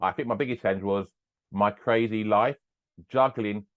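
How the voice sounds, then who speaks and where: An older man speaks earnestly as an interview guest, circling back over his own phrases as he goes. His voice sits very low. It comes through a thin, phone-like line. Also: steady tone, normal speed. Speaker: podcast guest, business owner. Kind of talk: casual interview chat.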